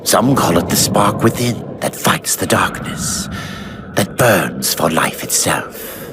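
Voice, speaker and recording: A solemn, very deep storyteller. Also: male voice, very animated, takes it slowly, heavy background noise, full character voice. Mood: solemn, brooding. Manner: solemn, portentous. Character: solemn, brooding male storyteller of dark legend. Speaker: cutscene narrator. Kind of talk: dramatic scripted video game narration.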